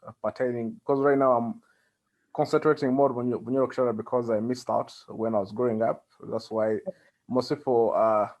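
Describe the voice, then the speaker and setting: Male interview guest, Ugandan accent, mid-pitched, conversational, halting delivery with plenty of pauses. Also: fairly even intonation, darkish tone. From central Uganda. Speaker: interview guest, community organizer.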